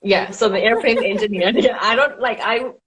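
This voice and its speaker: A woman's voice: fairly high pitch, normal speed, fairly bright tone, a little hiss or hum, rough recording. Giggly Thai-accented woman. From Thailand. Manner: chatty, giggly, many fillers. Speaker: guest, designer and paper florist. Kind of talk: casual interview answers.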